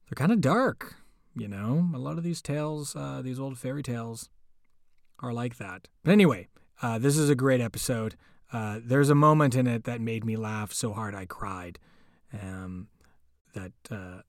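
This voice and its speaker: A man's voice: medium pitch, no echo, clean studio sound. A man hosting a podcast, casually introducing a guest reader with chatty, rambling asides. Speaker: podcast host.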